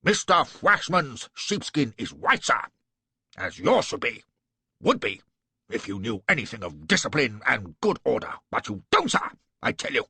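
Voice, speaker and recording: A raging, sneering aristocratic lord. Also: male voice, medium pitch, big pitch swings, unhurried, creaky, sharp tone, husky, dry recording, pitched above the performer's own voice, exaggerated voice. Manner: sneering, bullying, repeats "sir" with scorn. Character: pompous raging aristocratic cavalry lord, middle-aged man. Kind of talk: audiobook character voice.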